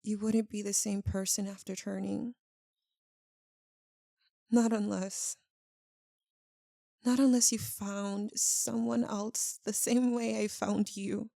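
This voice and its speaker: A woman playing an anguished, tender, guilt-ridden vampire lover in an ASMR roleplay, speaking in a halting, intimate, pleading way. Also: mid-range voice, level tone, creaky, warm tone, dry recording, studio quality. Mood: grave, resigned.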